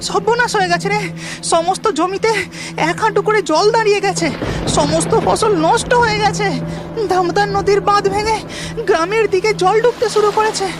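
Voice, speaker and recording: A woman's voice: extremely high pitch, moderate pace, over music. A woman voicing an anxious male village farmer in a cartoon story, speaking urgently. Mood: alarmed. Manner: urgent, pleading.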